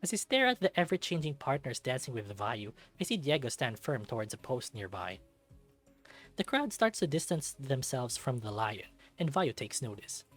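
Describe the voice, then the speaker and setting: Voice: mid-range voice, very animated, normal speed. A narrator reading a visual novel aloud in a dramatic storytelling style, with music behind. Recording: background music under the voice. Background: game music.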